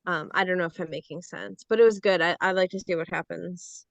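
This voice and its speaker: A woman's voice: lowish voice, fairly even intonation, brisk, creaky, dry recording. A woman actor on a reading panel giving her reaction to a script. She rambles, leaning heavily on "like" and "you know". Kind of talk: casual feedback discussion.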